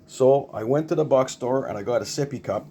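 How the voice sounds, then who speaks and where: An older man with a relaxed, mellow voice talks casually through a homemade gadget. He rambles a little, doubles back on his words and keeps it plain-spoken. The amateur recording carries some faint background noise. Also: medium pitch, brisk. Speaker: hobbyist demonstrating a homemade radio mount. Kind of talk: casual unscripted show-and-tell.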